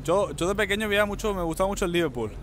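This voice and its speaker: Young us guy, talks fast, bit high pitched, chatting outside into a handheld mic. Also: expressive, a bit bright, a little hiss or hum. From United States. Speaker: interviewee. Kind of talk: casual chat. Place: outdoors on a city bridge. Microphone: interviewer's handheld microphone.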